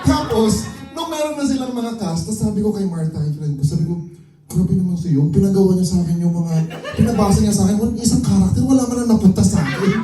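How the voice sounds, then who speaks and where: A man's voice: sits high, fast talker, dark tone. A man, a stage actor, chatting loosely about how he got his role, with many fillers and frequent laughs. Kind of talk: casual chat at a cast event. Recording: distant, echoey room with music.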